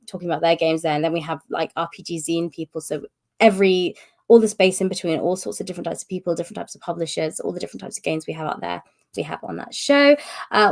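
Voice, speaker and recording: A woman hosts a casual live-stream update, talking in a loose, rambling way with plenty of fillers and restarts. Her voice is gravelly and a little strained from a recent cough. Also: fairly low pitch. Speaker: host of publisher's live stream.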